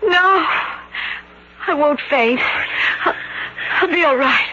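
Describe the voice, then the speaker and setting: An actress playing a young, emotional Parisian sweetheart, anxious but determined, in melodramatic radio mystery style. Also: female voice, high-pitched, slow, bright, forceful tone, full character voice. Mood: horrified. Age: young adult. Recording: dull, noisy, tinny old radio recording.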